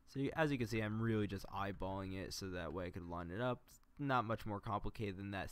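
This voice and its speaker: A man's voice: sits low, clear, resonant tone. A man talking casually on a livestream, explaining his editing as he goes and muttering to himself between explanations. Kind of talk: casual livestream tutorial, thinking aloud while editing.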